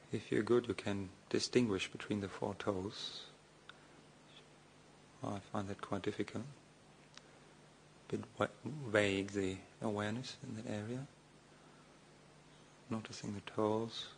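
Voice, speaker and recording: Male, meditation guide, fairly low pitch, halting step-by-step instruction with generous pauses, slight background noise. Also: little pitch movement, gravelly, poor audio. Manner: slow, hesitant delivery with long pauses. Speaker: meditation teacher, Buddhist monk.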